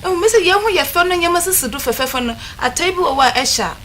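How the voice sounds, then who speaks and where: A woman's voice: extremely high pitch, lively intonation, fast talker, fairly bright tone. A Ghanaian-accented woman panelist in casual discussion, switching languages and searching for words. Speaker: talk show panelist. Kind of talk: casual discussion, mixing English with a local language. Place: studio. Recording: faint noise in studio recording.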